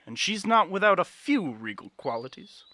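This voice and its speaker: Man acting a bright-voiced, courtly young advisor. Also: high voice, big pitch swings, heavily acted. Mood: sincere, respectful. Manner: formal, measured, deferential archaic phrasing. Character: earnest, courtly young male political advisor. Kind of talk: fantasy audio drama acting.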